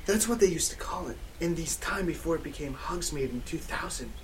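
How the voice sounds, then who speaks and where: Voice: medium pitch, animated, a little fast, a little hiss or hum, close to an everyday voice. A person reading aloud as a moody, secretive teenage goth boy, cocky and conspiratorial.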